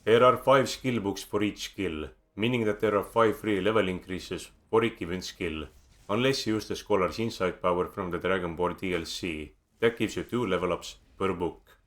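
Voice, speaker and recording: A man with an Estonian accent narrating a scripted video game guide in a measured, dryly wry manner, recorded as a close voice-over. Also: low-pitched, a bit bright.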